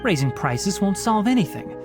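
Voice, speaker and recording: A man voicing a learned, no-nonsense young forest ranger in a video game, speaking crisply and matter-of-factly. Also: sits high, sing-song, moderate pace, over music. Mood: questioning. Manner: crisp, matter-of-fact, explanatory.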